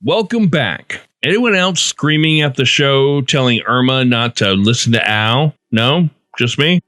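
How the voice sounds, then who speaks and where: A man's voice: medium pitch, expressive, measured pace, pushed and bright, no echo, clean studio sound. A man presenting a scripted podcast segment as the host.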